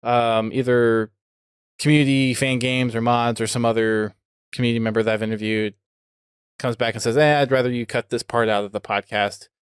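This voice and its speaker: A man's voice: medium pitch, little pitch movement, normal speed, clean tone, dry recording, studio quality. A man hosting a podcast, chatting casually with a guest. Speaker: podcast host interviewing a guest.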